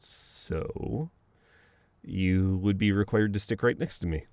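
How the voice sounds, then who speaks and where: Man acting as male best friend, low voice, slow pace, flustered, teasing, protective, halting banter, intimate audio roleplay, dry sound. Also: a little mellow, no echo. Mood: teasing, gently firm.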